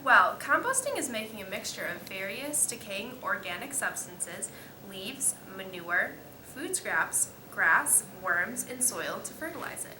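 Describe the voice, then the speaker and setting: A female student giving halting, scripted explanations as an expert guest in a mock interview. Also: mid-range voice, very animated. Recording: echoey room with faint noise.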